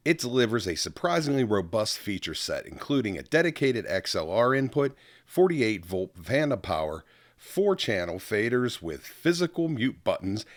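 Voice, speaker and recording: A man's voice: lowish voice, dry recording, studio quality. A man hosting a scripted product review as a narrator. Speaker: product reviewer and channel host.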